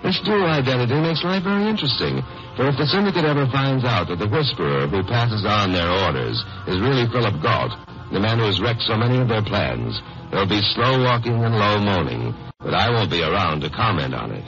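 Casting: dry, hard-boiled radio detective, lowish voice with lively swings, wisecracking old-time crime show delivery. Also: male voice, fairly quick. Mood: wry narration. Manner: tongue-in-cheek, dry. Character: wry, wisecracking hard-boiled male lawyer-sleuth. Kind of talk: 1950s radio crime drama narration. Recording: muffled old radio broadcast, music behind.

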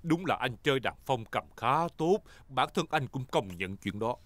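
A man's voice: medium pitch, animated, normal speed, fairly bright tone, dry recording, close to an everyday voice. A man voicing a jolly, slightly boastful father pig in a children's cartoon, hearty and playful. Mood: proud.

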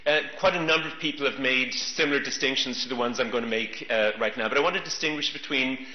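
Man, middle-aged, keynote lecture, measured with fillers, roomy hall, muffled sound. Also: mid-range voice. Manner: measured, thoughtful, many fillers. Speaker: keynote speaker. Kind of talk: conference lecture. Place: large conference hall. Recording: roomy, dull conference recording.

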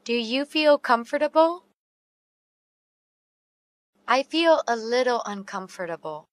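Female, slow deliberate delivery, clear diction, dry studio sound. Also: on the high side, lively intonation, no room sound. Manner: slow and carefully enunciated. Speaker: English teacher reading dialogue. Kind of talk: slow read-aloud English practice phrases.

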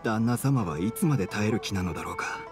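An actor playing an uneasy, brooding household retainer in an anime game. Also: male voice, on the low side, animated, fast, lightly acted. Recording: music behind the voice.

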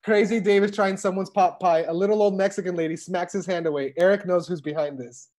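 A woman's voice: lowish voice, steady tone, brisk, darkish tone. A woman reading scripted stage directions as narration for a table read. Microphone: video call.

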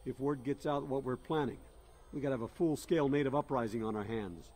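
A man acts a stern military commander for a video game. He speaks bluntly at a medium pitch, gruff and used to giving orders. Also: fairly quick, a little mellow, a little hiss or hum, lightly acted.

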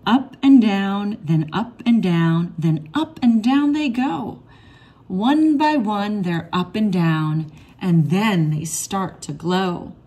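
A woman reading a rhyming children's picture book aloud in an expressive, measured storytelling manner. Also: mid-range voice. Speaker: read-aloud storybook reader.

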